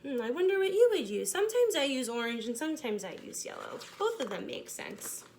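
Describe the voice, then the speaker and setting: A woman's voice: sits high, sing-song, fairly quick, darkish tone, a little hiss or hum. A woman hosting a children's storybook read-aloud, adding short comments of her own.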